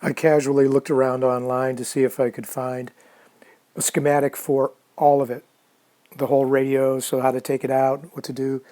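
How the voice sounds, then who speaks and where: A man casually narrating a hands-on restoration video, unhurried and halting as he thinks aloud. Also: medium pitch, flat delivery, dark tone. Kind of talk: casual narrated how-to commentary.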